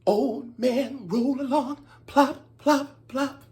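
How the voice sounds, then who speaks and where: A woman's voice: high voice, very slow, a little mellow, voice pushed up. A woman voicing happy, grateful mice in a children's folktale, chanting in a cheerful singsong. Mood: playful. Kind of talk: singsong children's storybook acting.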